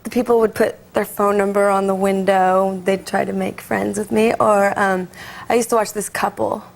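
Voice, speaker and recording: A young woman in her twenties, a guest on a talk show, telling a story in a relaxed, giggly, playful way, recorded in a television studio. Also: mid-range voice, moderate pace, creaky. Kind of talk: casual anecdote on a talk show. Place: television studio with live audience. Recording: broadcast with faint audience noise.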